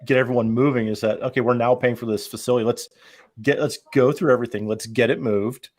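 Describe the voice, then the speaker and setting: A man's voice: mid-range voice, fairly even intonation, fast talker. A middle-aged man talking informally as a panelist on a podcast. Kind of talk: casual chat.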